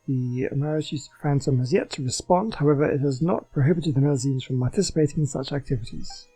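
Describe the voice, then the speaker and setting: A man's voice: medium pitch, quick pace, mellow, slightly rough, faint music behind, poor audio. A man doing a casual video game playthrough, reading in-game text aloud.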